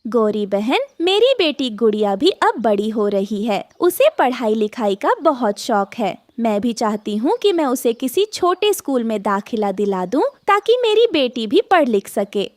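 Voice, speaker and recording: A woman voicing a gentle, poor widowed mother bird in a cartoon, speaking politely and earnestly. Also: a little high, big pitch swings, unhurried, hardly any pauses, no room sound. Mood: humble pleading.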